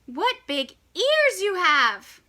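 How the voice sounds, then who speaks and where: A woman's voice: very high-pitched, big pitch swings, very slow, sharp tone, pitched above the performer's own voice, heavily acted. A woman voicing a clever, kind, plucky little girl from a storybook in an exaggerated, singsong manner. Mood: amazed.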